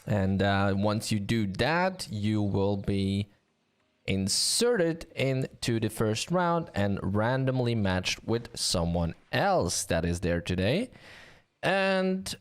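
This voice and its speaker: Male stream host, sore throat, lowish pitch, chatty and upbeat. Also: sing-song, takes it slowly. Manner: chatty, upbeat, many fillers. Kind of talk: live-stream commentary.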